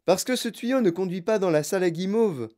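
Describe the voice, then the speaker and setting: An eccentric, theatrical chocolate maker, acted out by a woman reading aloud waves away every worry. He talks in an airy, emphatic way with lively swings of pitch. The voice sits a touch raised over a warm, mellow tone. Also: brisk, lightly acted. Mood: soothing.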